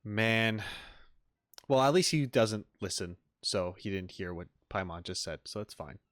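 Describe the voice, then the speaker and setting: A man's voice: fairly low pitch, animated, a little slow, fairly bright tone, no echo, studio quality. A man giving casual, slangy gameplay commentary as a streamer in an offhand manner. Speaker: video game streamer.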